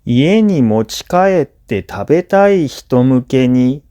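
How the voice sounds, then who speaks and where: A man narrating a scripted listening lesson for language learners, phrasing deliberately in short segments. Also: mid-range voice, animated, dark tone. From Japan.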